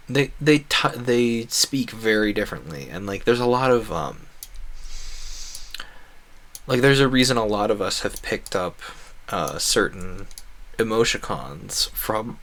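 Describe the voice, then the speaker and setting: A man giving casual, rambling commentary as a streamer, animated and jokey, often interrupting himself and muttering asides in his native English. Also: on the low side. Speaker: gaming streamer.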